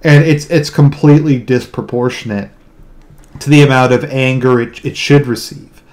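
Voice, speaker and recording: A man with a deadpan delivery speaks casually in a solo monologue, often repeating words and restarting his sentences. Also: mid-range voice, moderate pace. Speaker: online video commentator. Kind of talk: casual monologue explaining own humour.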